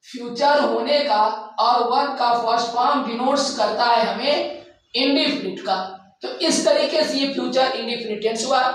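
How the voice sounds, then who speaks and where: A high-pitched male teacher speaks from well away from the microphone in a heavily reverberant room. He goes slowly through a lesson step by step, restating phrases and asking questions that he answers himself. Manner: didactic, repeats phrases and asks rhetorical questions. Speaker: English grammar teacher. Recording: very echoey room, distant microphone.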